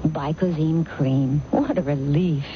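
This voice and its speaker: A woman's voice: low-pitched, slow pace. A woman giving a scripted testimonial in a radio commercial. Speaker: commercial spokeswoman. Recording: muffled radio broadcast, faint noise.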